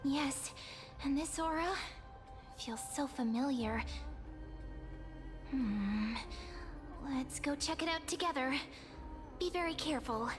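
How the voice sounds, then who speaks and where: A woman voicing a sweet, timid and earnest girl adventurer in an anime-style game, speaking hesitantly, with a soft-hearted manner. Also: sits high, slow pace, long pauses, music in the background. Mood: cautious, curious.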